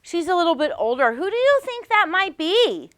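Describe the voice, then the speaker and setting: Very high-pitched, animated woman teacher. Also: normal speed, no room sound, studio quality. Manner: animated, simple questions with emphatic repetition for small children. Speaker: teacher reading aloud to young pupils. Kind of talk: interactive storybook read-aloud lesson.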